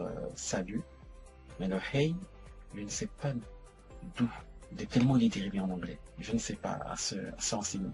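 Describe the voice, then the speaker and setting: A man's voice: on the low side, creaky, a little mellow. A man hosting an educational video, explaining informally to the viewer in a hesitant way, often repeating and correcting himself. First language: French. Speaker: host of an educational video series.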